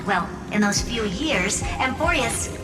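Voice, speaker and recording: An actress playing a brisk, impatient, condescending genius scientist in a video game, with urgent, clipped delivery. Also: female voice, mid-range voice, expressive. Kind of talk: scripted game dialogue. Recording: echoey, distant voice, music behind.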